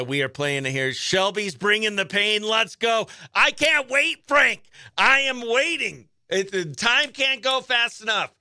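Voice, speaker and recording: Male radio host, high-pitched, crisp clean tone, excitable slangy chat, dry studio sound. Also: no room sound. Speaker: radio morning show host. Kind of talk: casual live radio chat.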